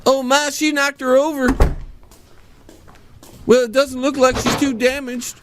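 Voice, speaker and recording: A man's voice: very high voice, level tone, a little slow, very noisy. A man voicing an anxious, bumbling little sidekick in a cartoon, fretfully talking to himself. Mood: fretful, determined. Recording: loud music behind.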